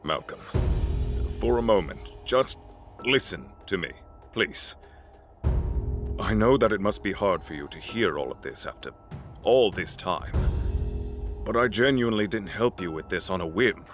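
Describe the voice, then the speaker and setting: Actor as a defensive corrupt mayor, swinging pitch. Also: male voice, lively intonation, measured pace, long pauses. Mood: earnest pleading. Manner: defensive, pleading, pragmatic. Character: corrupt, self-justifying male mayor pleading under threat. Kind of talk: dramatic audio drama acting. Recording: music under the voice. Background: dramatic music.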